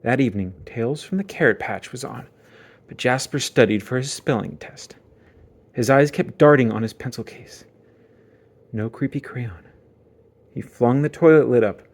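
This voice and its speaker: A man narrating a children's book aloud as dramatic storytelling, with theatrical emphasis and suspenseful pauses. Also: mid-range voice.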